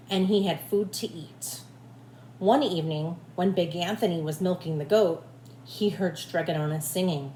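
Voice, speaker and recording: A woman reading a storybook aloud like a teacher, with expressive, deliberate phrasing for children. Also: lowish voice. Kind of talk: storybook read-aloud narration. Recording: echoey room, faint background noise.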